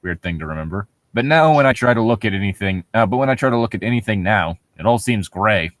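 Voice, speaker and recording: Jokey man with a lively, swooping delivery. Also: fairly low pitch, fast, no room sound. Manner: jokey, sarcastic, swears casually. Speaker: co-host of a comedy reading show. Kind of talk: casual mocking read-aloud with banter.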